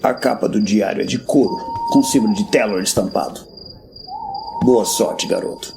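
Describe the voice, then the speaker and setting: An actor playing a grim, world-weary veteran warrior master in a fantasy audio drama, speaking bluntly and solemnly. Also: male voice, fairly low pitch, moderate pace, creaky, background noise. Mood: grave, confiding. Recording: background music.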